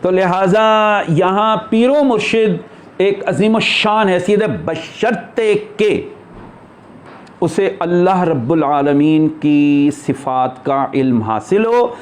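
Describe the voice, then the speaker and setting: A man's voice: sits high, slow. A man speaking as a spiritual teacher in an informal religious discourse, explaining emphatically and posing rhetorical questions.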